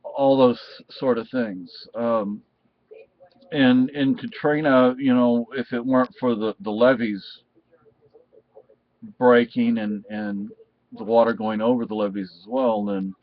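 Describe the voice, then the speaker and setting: A man's voice: medium pitch, steady tone, no room sound, narrow, tinny audio. A middle-aged American man talking casually off the cuff, rambling and often restarting his sentences. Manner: rambling, halting with repeated restarts. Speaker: video reviewer. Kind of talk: casual unscripted talk.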